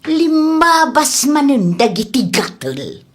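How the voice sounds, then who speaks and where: A woman plays an outspoken village woman in an audio family drama. Her voice sits on the high side, and she speaks with plenty of open emotion. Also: sing-song, takes it slowly, exaggerated voice. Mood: annoyed, gossipy. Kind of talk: Ilocano audio comedy drama acting.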